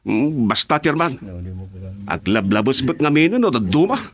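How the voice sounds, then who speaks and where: A man's voice: a little high, sing-song, moderate pace. An actor playing a henpecked, sheepish village councilman with an Ilocano accent, hesitant and full of excuses, in a comedy radio drama. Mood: evasive.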